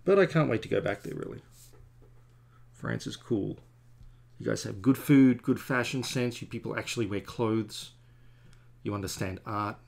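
A man's voice: lowish voice, normal speed, vocal fry, a little mellow. A man in his forties, a comic artist chatting in a rambling, self-deprecating way to stream viewers while he draws at home. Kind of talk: rambling casual chat while drawing. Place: home studio at a drawing desk.

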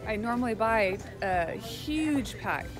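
A woman's voice: medium pitch, expressive, takes it slowly, music in the background. A woman in her thirties chatting casually to a handheld phone camera in her car, in a shop and at home, rambling and upbeat. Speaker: family vlogger. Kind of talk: casual vlog chat.

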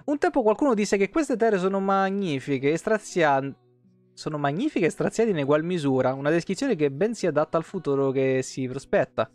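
A man's voice: on the high side, animated, moderate pace. A man in his thirties with a tiring voice, reading game lore aloud on a livestream with casual asides. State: voice tired and giving out. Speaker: game streamer. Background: soft plucked guitar music.

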